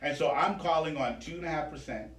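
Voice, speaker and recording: A man's voice: a little high, brisk, a crowd in the background. A man hosting a radio interview in casual, jokey, slangy conversation. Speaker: radio host. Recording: echoey room, distant mic, faint noise.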